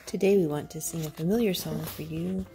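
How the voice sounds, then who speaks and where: A woman's voice: low-pitched, steady tone, a little fast, gravelly, dark tone, faint music behind. A woman speaking in a devotional style, introducing a song in a home recording.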